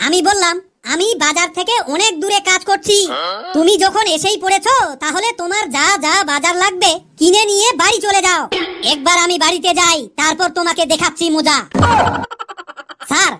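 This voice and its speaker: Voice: extremely high pitch, brisk, sharp tone, slight background noise. A performer voicing a cheeky cartoon schoolboy who tells stories to a teacher in a childlike, declamatory way. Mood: cheeky, storytelling. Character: cheeky schoolboy pupil telling husband-and-wife jokes to teacher. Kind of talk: Bengali comedy cartoon voice acting.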